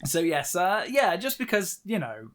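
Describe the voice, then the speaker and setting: A man with a slightly high voice co-hosts a book podcast. He talks through the story in a loose, conversational way, repeating phrases for emphasis. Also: big pitch swings, a little slow, fairly bright tone. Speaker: podcast co-host. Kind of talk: casual book recap chat.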